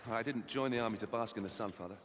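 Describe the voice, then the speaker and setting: A young Roman soldier played by a man, earnest and dutiful, talking quickly in a lowish voice. The game cutscene carries a long reverb. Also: lightly acted. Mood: eager, earnest. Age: young adult. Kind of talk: video game cutscene acting. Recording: long reverb, faint background noise.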